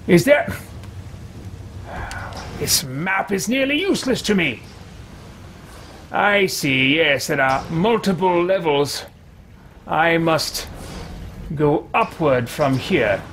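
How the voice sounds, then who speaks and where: A man playing a haughty, impatient wizard adventurer in a video game roleplay, muttering to himself in formal, pompous, halting phrases. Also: a little high, sing-song, fairly bright tone, slight background noise, full character voice. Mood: musing. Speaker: let's play host. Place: small home room, indoors.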